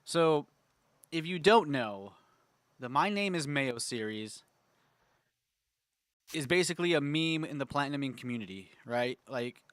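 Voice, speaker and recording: A young man hosts a casual gaming video, his voice stuffy and congested, often stopping to clear his throat. He rambles happily through filler words at a middling pitch. The sound is close and dry, with no room around it. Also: a bit bright, no echo. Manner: rambling, filler-heavy, enthusiastic. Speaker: gaming channel host. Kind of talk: casual tier-list chat.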